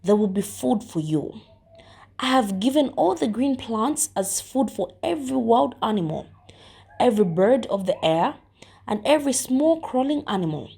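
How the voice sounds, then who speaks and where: Husky, fairly high-voiced woman reading scripture. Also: big pitch swings, normal speed, dark tone. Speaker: volunteer scripture reader.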